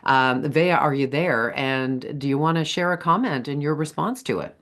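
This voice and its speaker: Female vlog host, casual and conversational, with expressive, playful delivery. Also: low voice, moderate pace.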